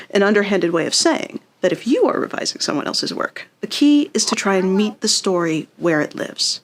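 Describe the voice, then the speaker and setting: A woman delivering an instructional lesson as a writing instructor, in an encouraging and conversational manner. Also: medium pitch.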